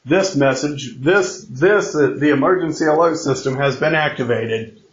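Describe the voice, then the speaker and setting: Guy doing a homemade emergency alert, kinda high voice, stumbles and repeats stuff, muffled roomy recording. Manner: halting, repeats and restarts phrases; mimics alert tones with spoken sounds. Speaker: amateur announcer of a mock emergency alert. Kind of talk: improvised mock emergency broadcast announcement. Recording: muffled, echoey room recording.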